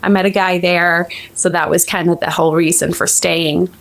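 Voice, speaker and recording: A woman's voice: on the low side, little pitch movement, normal speed, vocal fry. A woman in her forties from Sweden with a Swedish accent, chatting animatedly as an interview guest. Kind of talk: casual interview chat.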